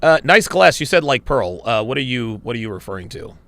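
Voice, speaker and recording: A man's voice: mid-range voice, big pitch swings, fairly quick, fairly bright tone. A man hosting a live podcast, reacting bluntly to a video and repeating lines for emphasis.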